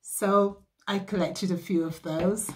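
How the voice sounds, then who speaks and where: A woman in her sixties, originally from Barbados, recalling her years as an athlete in a measured, reflective way with frequent pauses and ums. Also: medium pitch, steady tone. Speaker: former athlete sharing memories. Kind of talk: reflective personal recollection.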